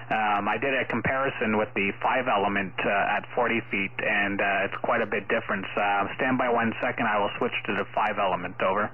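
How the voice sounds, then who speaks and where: A male amateur radio operator speaks in a low, fairly flat voice, pausing often between short phrases. He chats casually over a contact, and the audio is narrow and phone-like. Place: at a ham radio station.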